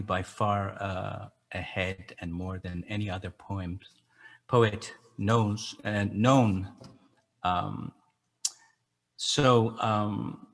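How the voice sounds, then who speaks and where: Older man, native Persian speaker, low pitch, very slow halting delivery, reflective educator explaining to an audience. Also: fairly bright tone. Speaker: educator and translator leading a poetry gathering. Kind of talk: informal explanatory talk to an audience.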